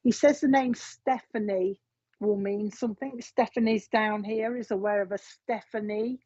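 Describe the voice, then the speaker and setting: Chatty woman, husky. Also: mid-range voice, fairly even intonation, moderate pace, darkish tone, no echo. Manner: chatty, relaying messages with frequent fillers and asides. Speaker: medium giving a reading. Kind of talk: spoken psychic reading. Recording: dull, dry lo-fi sound.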